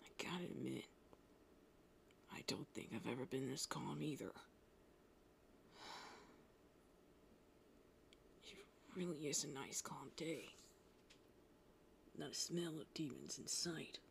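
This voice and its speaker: A woman playing a gruff, prickly but secretly tender young male half-demon in a roleplay spoken to the listener, brusque with a low, gravelly voice. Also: measured pace, pauses often, slight background noise. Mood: calm. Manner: gruff, brusque, softening into breathy murmurs. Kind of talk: roleplay audio addressed to the listener.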